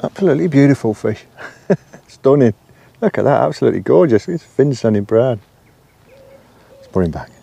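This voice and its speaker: A man in his fifties, an angler talking casually to the camera from a lakeside bank, soft-spoken and often murmuring under his breath. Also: mid-range voice, sing-song, moderate pace, gravelly, long pauses, husky, no room sound. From England. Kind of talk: casual fishing commentary. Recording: faint outdoor noise with birds.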